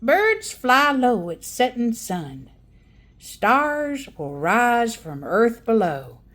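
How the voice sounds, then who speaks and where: A woman's voice: fairly high pitch, very animated, drawn-out words, fairly bright tone, pitched above the performer's own voice, full character voice. A woman voicing a spirited old grandmother who tells wondrous tales in a folksy, dramatic storybook style. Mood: hushed wonder. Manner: folksy, dramatic, savoring suspense. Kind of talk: storybook read-aloud character.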